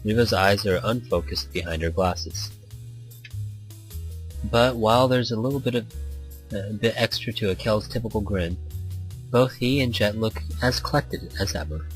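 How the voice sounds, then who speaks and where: A man with a fairly low voice narrates a story game over background music. His read-aloud is informal, with the odd stumble that he corrects and carries on from. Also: normal speed, pauses often, rough recording. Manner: informal, stumbles over lines and rereads them. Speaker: narrator reading a visual novel.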